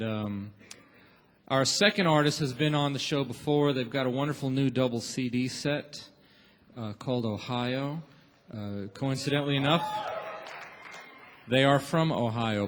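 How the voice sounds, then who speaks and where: A man hosting a radio show taping, giving informal, encouraging warm-up announcements from a theater stage. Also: medium pitch, a little slow, a little hiss or hum. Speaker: radio show host and emcee. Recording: echoey theater hall with long reverb.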